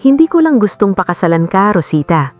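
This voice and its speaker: A woman voicing a devoted male suitor in a romantic story, speaking with gentle, patient sincerity. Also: mid-range voice, big pitch swings, brisk, faint music behind, lightly acted. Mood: sincere devotion.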